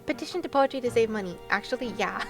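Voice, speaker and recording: A young woman chats casually as a livestream host, with game music clearly behind her. Her voice is fairly high. She talks in a playful, giggly way and exclaims readily. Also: lively intonation, fast. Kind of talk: casual chat with stream viewers over a game.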